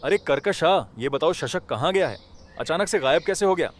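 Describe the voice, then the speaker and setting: A man's voice: on the high side, lively intonation, moderate pace. A man voicing a kind-hearted, emotional poor villager in a Hindi cartoon, speaking in a dramatic, pleading way. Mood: angry, demanding. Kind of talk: Hindi animated moral story dialogue.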